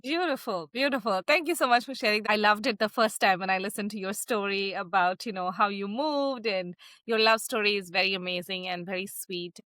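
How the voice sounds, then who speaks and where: Bright, upbeat woman hosting. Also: mid-range voice, a little fast, few pauses, no echo, studio quality. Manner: upbeat, encouraging, affirming the guest. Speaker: podcast host. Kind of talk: interview hosting.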